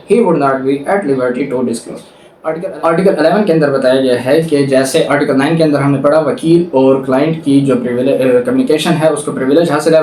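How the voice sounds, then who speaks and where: A man's voice: on the high side, darkish tone, slight background noise. A man with a Pakistani accent giving a law lecture, reading out statutes and explaining them. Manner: slow, deliberate English reading, quicker Urdu explanations with English legal terms. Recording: echoey room, distant microphone.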